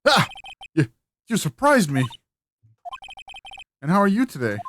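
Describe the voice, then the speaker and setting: A man's voice: mid-range voice, brisk, a little hiss or hum, close to an everyday voice. A man voicing an earnest, easily flustered young defense lawyer in a video game, with a wry, hesitant, musing manner. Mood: flustered, startled. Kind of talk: amateur video game voice-over. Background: game music.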